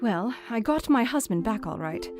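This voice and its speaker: A woman playing a chatty, affectionate young wife reading out her period letter in an audio drama, expressive and gently formal. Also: a little high, normal speed. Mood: fond, contented. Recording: instrumental music under the voice.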